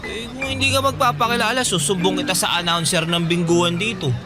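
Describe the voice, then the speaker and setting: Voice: medium pitch, steady tone, brisk, natural voice. Actor doing a cheeky teen boy, teasing, music underneath. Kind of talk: radio drama acting. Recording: music bed behind.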